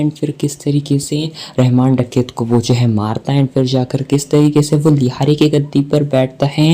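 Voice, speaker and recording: A man's voice: mid-range voice, creaky, mellow. A man with an Indian accent giving a film breakdown commentary in a wordy explainer manner, mixing in English words. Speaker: movie commentary YouTuber.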